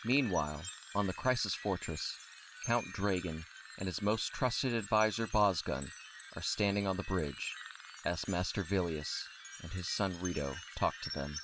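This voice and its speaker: A man's voice: low voice, a little slow. A man reading scripted narration for an audio drama. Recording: clearly noisy recording.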